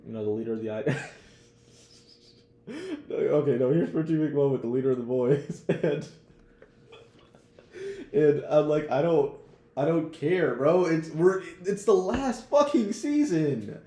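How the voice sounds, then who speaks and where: A man's voice: fairly high pitch, expressive, unhurried, pauses often, warm tone. Blunt young man in an echoey room. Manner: blunt, profane, slangy. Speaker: podcast co-host. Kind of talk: casual TV show discussion.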